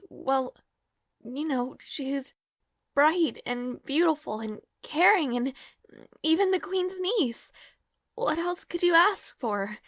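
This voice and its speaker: A woman's voice: sits high, fairly bright tone, no room sound, studio quality, full character voice. A woman acting in an audio roleplay as a devoted young maid to a noble lord, nervous and caring, with a hesitant, formal and apologetic manner. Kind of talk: scripted audio roleplay, intimate fantasy drama.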